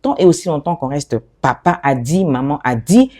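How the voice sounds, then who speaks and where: A middle-aged woman from the DR Congo, an interview guest who talks about her work in an animated, expressive way and often restarts her phrases. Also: deep voice, normal speed. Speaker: guest, fashion designer and author. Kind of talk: conversational interview.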